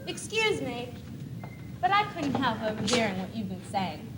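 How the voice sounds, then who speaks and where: A woman's voice: a little high, very animated, normal speed. A young teenage girl playing a thoughtful, uncertain schoolgirl in a school stage play. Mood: earnest, wistful. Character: thoughtful teenage girl unsure about boys. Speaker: student actor in a school one-act play. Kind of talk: school stage monologue and dialogue. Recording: noisy 1980s school video recording.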